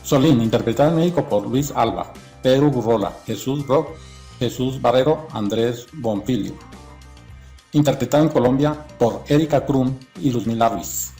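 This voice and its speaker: A man gives scripted documentary narration in a medium-pitched voice with a creaky grain. Soft music plays behind him. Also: normal speed.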